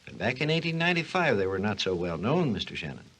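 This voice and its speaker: A learned, patient doctor of science on a vintage 1940s radio program. He lectures like a storyteller, with animated rises and falls. The voice has a slightly rough, unclear grain from the old recording. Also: lowish voice, quick pace, heavily acted, poor audio. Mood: storytelling. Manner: didactic, storytelling delivery. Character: learned, patient male scientist explaining invention history. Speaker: expert guest on a science program. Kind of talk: 1940s scripted educational radio dialogue.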